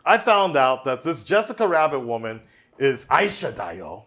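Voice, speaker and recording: A man's voice: medium pitch, very animated, moderate pace, fairly bright tone. A man hosting a podcast, joking and teasing his guest in a hyped, casual chat. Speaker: podcast host.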